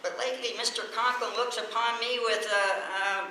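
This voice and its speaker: A performer voicing an awkward, earnest American teenage schoolboy in old-time radio comedy, with halting delivery. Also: female voice, fairly high pitch, fairly even intonation, sharp tone. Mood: hopeful, awkward. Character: awkward, dim but hopeful teenage schoolboy. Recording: echoey, faintly noisy stage recording.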